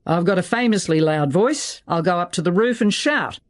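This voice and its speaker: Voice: medium pitch, expressive, normal speed, close to an everyday voice. A narrator acts out a blustering male political operator from an audiobook thriller. He is sardonic and flippant, tossing out sarcasm as if nothing could rattle him.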